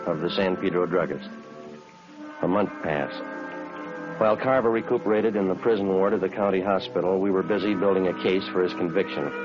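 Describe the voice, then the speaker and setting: An actor playing a stoic American police detective, narrating a vintage radio drama in a clipped, matter-of-fact way. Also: male voice, sits low, close to an everyday voice. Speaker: lead actor and narrator of the series. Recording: dull vintage radio recording with music.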